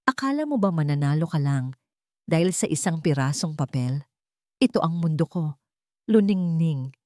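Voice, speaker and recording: Brief: smug vengeful ex-husband, voiced by a woman, slow sneering sarcasm, low and dry. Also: animated, dark tone, dry recording. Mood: gloating. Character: scheming smug ex-husband, man.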